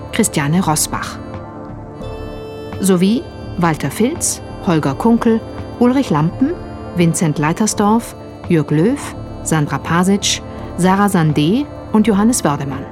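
A woman's voice: on the low side, animated, slow, pauses often. A woman reading radio drama credits as an announcer in a neutral, formal way. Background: music.

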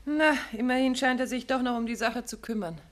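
An actress playing a detective's attentive, cautious wife in a 1950s German radio play, with an earnest, questioning manner. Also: female voice, a little high, brisk, close to an everyday voice. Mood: curious.

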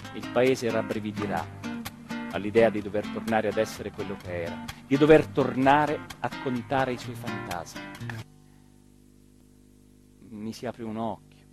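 An actor playing a weary, brooding village man in a theatrical monologue, speaking solemnly and deliberately with dramatic pauses. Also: lowish voice. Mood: hushed, intimate. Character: weary, brooding village man, mayoral candidate addressing voters. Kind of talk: theatrical monologue, literary narration.

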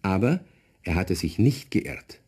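A man's voice: low-pitched, very animated, slow, noisy background, exaggerated voice. A man as the storyteller of a children's radio play, narrating conversationally and addressing young listeners. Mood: storytelling.

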